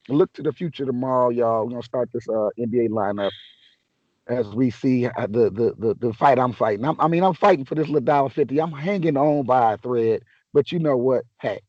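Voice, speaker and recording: A man hosting a casual sports chat, a little raspy from a sore throat, talking in a laid-back, joking way with many restarts. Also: medium pitch, a little fast, a little mellow, no room sound, highs cut off. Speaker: podcast host.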